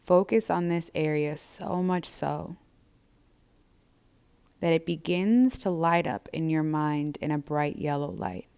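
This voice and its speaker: A woman leads a guided breathing and body scan practice as a yoga instructor. Her voice sits low, with a clear, smooth tone and a creaky fry. She speaks gently, in measured, soothing phrases. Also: fairly even intonation.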